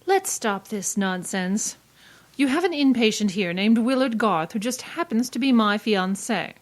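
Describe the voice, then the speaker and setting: A woman acting a haughty, hot-tempered young lady, high-pitched. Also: animated, measured pace. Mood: haughty, cold. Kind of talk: old-time radio acting before a live audience.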